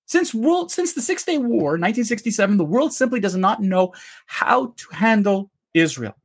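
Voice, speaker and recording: A man's voice: sits high, big pitch swings, normal speed. A man co-hosting a live opinion podcast, ranting emphatically and often restarting his sentences. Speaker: co-host. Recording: live online stream.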